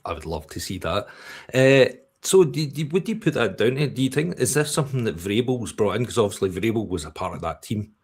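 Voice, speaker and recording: A man's voice: fairly low pitch, sing-song, fairly quick, husky. A Scottish man in his forties hosting a casual sports chat, asking long, rambling questions. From Scotland. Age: 40s. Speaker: podcast host.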